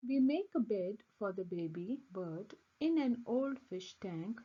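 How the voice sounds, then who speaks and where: Slow, lively woman reading a storybook. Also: medium pitch, husky, lo-fi sound. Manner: simple, deliberate, expressive phrasing. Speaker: children's book reader. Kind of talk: scripted storybook read-aloud for young children.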